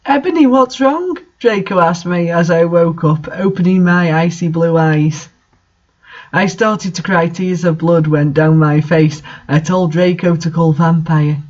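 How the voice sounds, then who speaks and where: A man's voice: on the high side, level tone, a little slow, a little mellow, highs cut off. A man doing a mocking read-aloud of bad fan fiction, with incredulous, sarcastic asides in casual commentary.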